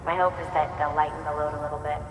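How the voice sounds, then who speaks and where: A man's voice: fairly high pitch, level tone, rapid-fire, natural voice. An actor playing a polite male engineer and new father who explains his delivery bots at length, in a game cutscene. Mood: earnest. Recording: echoey hall with loud background noise.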